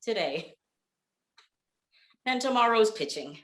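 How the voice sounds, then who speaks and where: A woman's voice: mid-range voice, lively intonation, takes it slowly, a bit bright, roomy sound. A woman playing an exasperated, bewildered comic sidekick in a 1940s radio comedy routine, stammering and insistent in her questions. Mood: frustrated.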